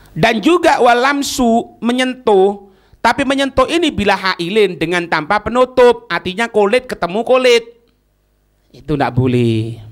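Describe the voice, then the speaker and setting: A man's voice: high-pitched, fairly bright tone. A man with an Indonesian accent giving an Islamic lesson, reading a text and explaining it didactically with repeated phrases and checks on understanding. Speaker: Islamic teacher.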